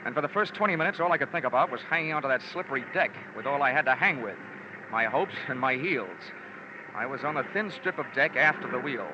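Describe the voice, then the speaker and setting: A man's voice: mid-range voice, expressive, fast talker, muffled recording, noisy background, natural voice. An actor playing a hard-boiled American insurance investigator, narrating his case in a wry, clipped, wisecracking way in vintage radio drama. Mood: tense. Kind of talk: 1940s-50s radio detective narration. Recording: band-limited old radio recording with music.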